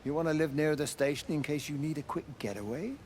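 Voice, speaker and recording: An actor playing a gruff, plain-spoken middle-aged countryman in a video game drama, blunt and colloquial. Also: on the high side, fast talker, darkish tone, a little hiss or hum, natural voice. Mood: dry, guarded. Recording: music under the voice.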